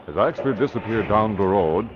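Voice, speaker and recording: An actor serving as the formal storytelling narrator of an old radio thriller. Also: male voice, lowish voice, lively intonation, moderate pace, noisy background, close to an everyday voice. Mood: measured, suspenseful. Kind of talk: 1940s radio scripted narration. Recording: muffled old radio recording, music behind.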